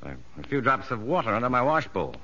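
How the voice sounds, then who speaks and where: An actor playing an earnest prison psychologist in a 1950s radio drama, measured and probing. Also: male voice, medium pitch, big pitch swings, sharp tone, slight background noise. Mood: curious, guarded. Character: earnest male prison psychologist, narrating and talking with convicts. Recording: dull vintage radio recording, music underneath.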